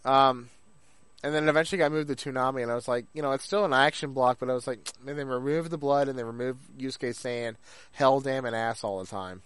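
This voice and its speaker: A man's voice: medium pitch, steady tone, fast, a bit bright, clear, resonant tone, dry recording. Rambling male podcaster in a dry, close recording. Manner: rambling, acts out remembered remarks. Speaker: podcast co-host. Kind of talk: casual chat about anime.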